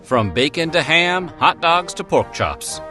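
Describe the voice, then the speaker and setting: A male narrator reads a playful script over clear background music. His pitch swings in a lively way, and he drops in wry, joking asides. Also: unhurried. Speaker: narrator of a food history video. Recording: music bed behind the voice.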